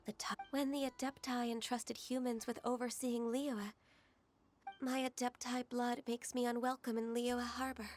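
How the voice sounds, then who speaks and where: Actress as gentle melancholy secretary, anime game: high, formal, hesitant, faint music underneath. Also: female voice, flat delivery, normal speed, natural voice. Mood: wistful. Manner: soft-spoken, formal, hesitant.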